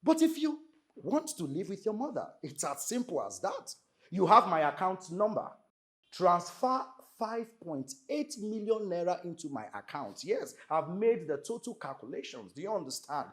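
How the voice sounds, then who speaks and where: Domineering Nigerian husband, swinging pitch. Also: male voice, very animated, normal speed. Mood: stern, contemptuous. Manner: commanding, emphatic, repetitive. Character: domineering, angry husband ordering his mother-in-law out. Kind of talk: film drama acting.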